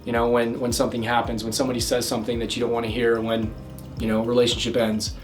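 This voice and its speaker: Loud, energetic male love coach. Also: medium pitch, fast, far from the mic, faint music behind. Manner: loud, energetic, talks with many fillers and restarts. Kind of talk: direct-to-camera advice talk.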